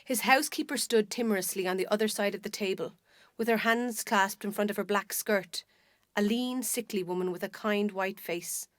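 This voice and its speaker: A woman with an Irish accent narrating a literary short story in a measured, expressive storytelling manner. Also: mid-range voice, no room sound, studio quality. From Ireland. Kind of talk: scripted dramatic literary narration.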